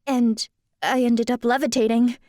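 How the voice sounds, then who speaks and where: A woman's voice: a little high, flat delivery, moderate pace, warm tone, dry recording, studio quality, lightly acted. A woman playing an earnest, polite young magical dancer in an audio drama, with a sincere, careful, courteous delivery. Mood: indignant, earnest.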